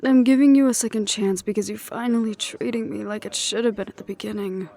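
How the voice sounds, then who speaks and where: A wry ex-hunter woman, played by a voice actress in an intimate audio drama. Her voice is mellow and relaxed at a middle pitch. She talks softly and takes her time, teasing and affectionate, with a weary edge from family strife. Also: fairly quick, natural voice. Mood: tender, reassuring. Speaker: voice actor in audio roleplay. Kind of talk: intimate one-sided audio roleplay acting.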